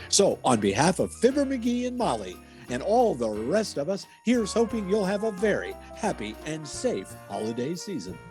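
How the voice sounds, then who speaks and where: Animated high-pitched male radio announcer over music. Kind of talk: old-time radio announcing, scripted narration.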